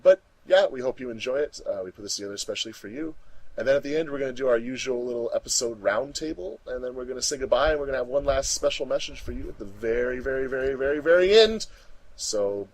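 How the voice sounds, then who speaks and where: A man's voice: mid-range voice, animated, quick pace, dry recording, rough recording. A man hosting a casual, chatty commentary track among friends. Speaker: host of fandub commentary track.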